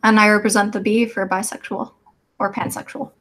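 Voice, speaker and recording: A woman's voice: medium pitch, level tone, a little fast, fairly bright tone, slight background noise. A woman in her mid-twenties co-hosting a casual live stream in an easygoing way, with ums between thoughts. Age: 20s. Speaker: co-host and adult advisor.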